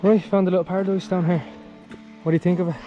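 A man's voice: high voice, level tone, racing, lo-fi sound, over music. A man, chatting his way through a casual travel vlog, recorded on an action camera in a car and outdoors. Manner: chatty running commentary, upbeat. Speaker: travel vlogger.

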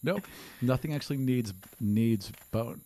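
Man narrating a tabletop game, mid-pitched. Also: unhurried, mellow. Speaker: game master. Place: small studio room, indoors. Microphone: close podcast microphone on a stand.

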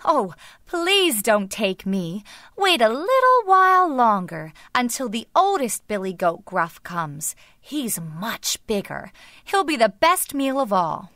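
Storybook middle goat, voiced by a woman: pleading, bargaining, raised pitch with lively swoops. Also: takes it slowly, no room sound, studio quality, exaggerated voice. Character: middle billy goat, bigger but pleading.

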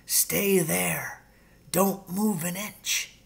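A man voicing a daring, commanding father out poaching in a storybook, with breathy, whispery delivery. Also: sits high, steady tone, very slow, pitched above the performer's own voice, heavily acted. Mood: hushed, urgent.

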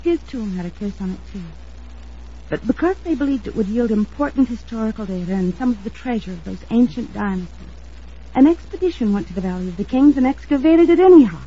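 Mellow husky female voice, young, anxious and earnest, hesitant, old radio drama. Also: on the high side, expressive, a little fast. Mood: grave, earnest. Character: earnest, anxious young woman, defensive about her sweetheart. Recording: dull old radio recording.

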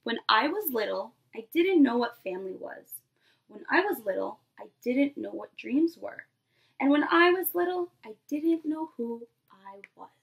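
A woman's voice: sits high, big pitch swings, normal speed, creaky. A woman in her twenties, a preschool teacher, reading expressively to young children and addressing them directly. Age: 20s. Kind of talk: animated read-aloud to young children. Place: small bedroom, indoors.

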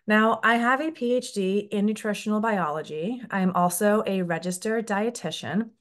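A woman in her thirties, raised in the Netherlands and a native Dutch speaker, opens a webinar over a video call. Her mid-pitched delivery is polished and clearly scripted. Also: normal speed. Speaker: webinar host, company scientist.

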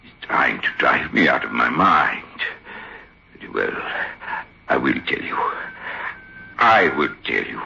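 A deep, gravelly ventriloquist, paranoid and tormented. He swings wildly in pitch as he rants in broken English, in an old lo-fi radio play. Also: big pitch swings, slow, pauses often, sharp tone, slight background noise, full character voice. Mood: frantic, accusing. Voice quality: gravelly, very hoarse. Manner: agitated, emphatic, broken English. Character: tormented, paranoid male Italian stage ventriloquist. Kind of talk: old-time radio drama acting. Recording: dull lo-fi old radio recording.

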